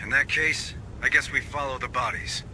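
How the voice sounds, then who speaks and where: An actor playing a gruff, middle-aged Marine squad sergeant in a video game, giving clipped orders. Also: male voice, medium pitch, normal speed, bright, forceful tone, slight background noise, low-quality recording. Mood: firm command.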